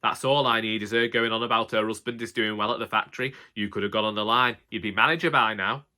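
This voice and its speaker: A man's voice: on the low side, steady tone, quick pace, bright, forceful tone. A man voicing a bossy, snobbish, house-proud wife who nags her husband haughtily in a comic audiobook. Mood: haughty nagging. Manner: haughty, nagging.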